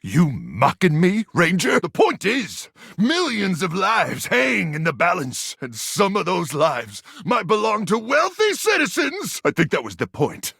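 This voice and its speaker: A man voicing a gruff, war-weary sci-fi soldier in a video game, melodramatic and intense, with deadpan absurd asides. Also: high-pitched, measured pace, no echo, studio quality, heavily acted. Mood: testy, rambling. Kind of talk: video game character acting.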